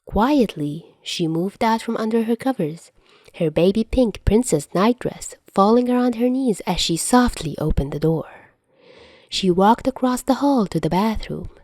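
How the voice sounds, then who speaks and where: A woman reading fan fiction aloud as narrator, in an expressive audiobook style. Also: medium pitch, measured pace, no echo.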